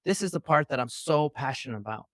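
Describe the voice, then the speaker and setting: A man's voice: medium pitch, expressive, quick pace, no echo, clean studio sound. A man from New York City, a physician guest, explaining at length in an earnest, teacherly way over a video call. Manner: earnest, teacherly, long-winded. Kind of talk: explaining interview answers. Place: indoors.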